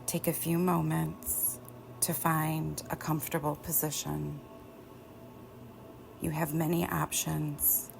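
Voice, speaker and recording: A woman teaching yoga nidra, guiding relaxation in a gentle, soothing way with long pauses. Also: sits low, flat delivery, gravelly. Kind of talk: guided relaxation meditation. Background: soft background music.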